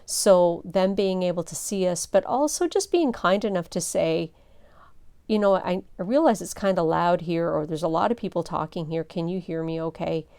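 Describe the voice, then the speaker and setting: A middle-aged woman pastor speaks in a soft, low voice with a mellow, smooth warmth and a touch of fry. She teaches gently and earnestly, pausing between thoughts. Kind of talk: teaching seminar talk.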